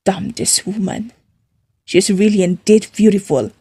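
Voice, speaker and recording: A woman voicing a cocky, seductive male boss in a romance audiobook, teasing and commanding in a slow delivery. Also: medium pitch, warm tone, breathy edge. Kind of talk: romance audiobook character acting.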